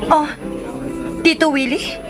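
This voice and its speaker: A cheeky filipino daughter in a radio soap, high voice, teasing, music under it. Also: female voice, steady tone, slow pace. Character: playful, teasing young daughter of a single mother. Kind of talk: radio drama acting.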